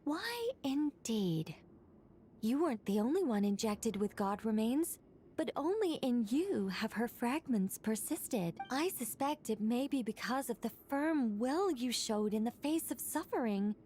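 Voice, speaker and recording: Wise, formal female game guide; high voice, measured delivery, slight background noise. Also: expressive, darkish tone. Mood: calm, solemn. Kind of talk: scripted video game dialogue.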